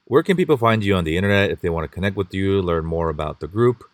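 A man hosting a podcast, reading scripted intros and asking interview questions in a polite, measured way. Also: sits low, expressive, dry recording. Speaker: podcast host.

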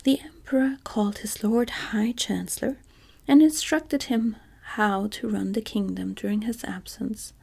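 A woman reads a storybook aloud in a hushed, measured whisper. Her voice is dark and mellow, with a breathy, husky grain and a touch of gravel. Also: medium pitch, fairly even intonation.

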